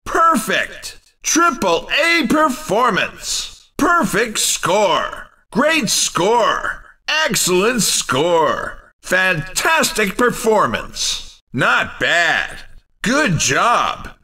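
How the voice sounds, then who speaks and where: Excitable video game race announcer, high bright voice, punchy theatrical calls, teasing streak. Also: male voice, extremely slow, recorded from a distance, full character voice. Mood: excited, then encouraging. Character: excitable, teasing male racing game commentator.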